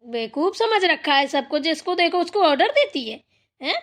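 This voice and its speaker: A woman acts the stern matriarch of a cartoon village household. Her voice is high for an older woman. She scolds bluntly, hands out orders and threatens anyone who disobeys. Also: big pitch swings, moderate pace, a bit bright, exaggerated voice. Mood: scolding. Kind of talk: cartoon family drama dialogue.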